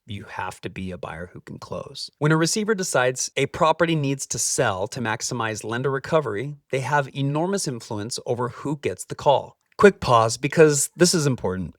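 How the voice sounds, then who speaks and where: A man hosting a scripted explainer, speaking straight to the listener in punchy, emphatic short phrases with deliberate pauses. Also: medium pitch, no room sound, clean studio sound, far from the mic. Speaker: host, capital-raising adviser.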